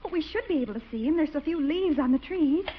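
Polite hesitant lady, high lilting voice, muffled hissy 1940s radio comedy. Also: expressive, fast talker. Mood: bright, earnest. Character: polite, hesitant young woman, keen bird lover.

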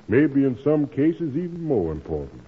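Husky, earnest old-radio preacher. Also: male voice, mid-range voice, big pitch swings. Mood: earnest, preaching. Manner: measured, sermon-like delivery with dramatic pauses. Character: kindly, earnest preacher giving gentle moral counsel. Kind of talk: 1940s radio drama acting. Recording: tinny old radio broadcast.

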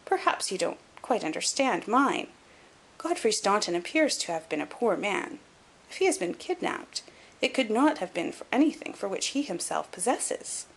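A woman voicing a shrewd, composed gentleman detective in an audiobook, measured, articulate and dryly superior. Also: medium pitch, sing-song, vocal fry, husky, heavily acted, rough recording. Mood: smoothly persuasive. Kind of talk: audiobook character acting.

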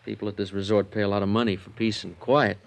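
A wary small-town deputy sheriff. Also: male voice, lowish voice, level tone, fast talker, gravelly. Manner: wary, plainspoken. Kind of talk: 1950s radio drama acting.

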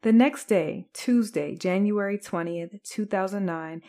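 A woman's voice: medium pitch, measured pace, mellow. A woman podcast host delivering scripted true crime narration.

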